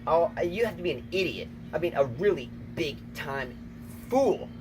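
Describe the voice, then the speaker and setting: Man, tennis co-host, emphatic, repeats himself, slightly high voice, windy outdoor recording. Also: expressive, normal speed. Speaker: tennis channel co-host, coach. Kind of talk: casual outdoor chat. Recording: noisy outdoor recording, wind.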